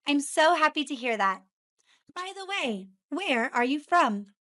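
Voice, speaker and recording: Woman acting a friendly female colleague, high bright voice, scripted learner conversation. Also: very animated, measured pace, full character voice. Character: friendly female office colleague welcoming a newcomer. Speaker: voice actor in English lesson dialogues.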